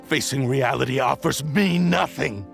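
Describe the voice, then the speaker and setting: A man's voice: medium pitch, moderate pace, vocal fry, fairly bright tone, faint music behind. A man playing a proud, obsessed and vengeful cursed sword spirit in a video game, with a menacing, haughty, theatrical delivery. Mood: bitter, proud. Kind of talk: dramatic video game acting.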